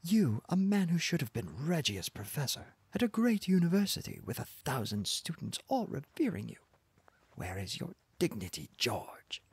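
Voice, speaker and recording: Man putting on a shrill, furious little wife. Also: very animated, normal speed, breathy edge, no echo, exaggerated voice. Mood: scolding, indignant. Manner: screaming, wailing, reproachful. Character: small furious indignant wife, shrill and scolding.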